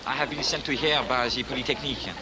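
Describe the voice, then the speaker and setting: An actor playing a French visitor with a French accent, speaking halting, formal English and searching for words. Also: male voice, medium pitch, steady tone, noisy background, natural voice. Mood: polite, halting.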